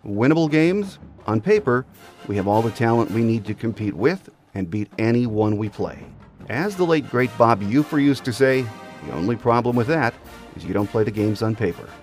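A man's voice: medium pitch, expressive, normal speed, a little mellow, soft background music. A middle-aged or older American man delivering scripted sports commentary as a podcast host.

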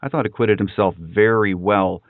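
A man hosting a sports podcast, interviewing and commenting in a measured way with pauses. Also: lowish voice, fairly even intonation, no echo, phone-quality sound.

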